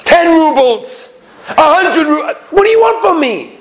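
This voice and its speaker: A loud, scoffing merchant played by a man telling a story pushes his voice high and swings it widely. He blusters in disbelief and mocks freely, with some noise behind him. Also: very animated, a little fast, vocal fry, exaggerated voice. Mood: desperate. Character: loud, mocking male diamond merchant, jokey husband. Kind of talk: animated oral storytelling.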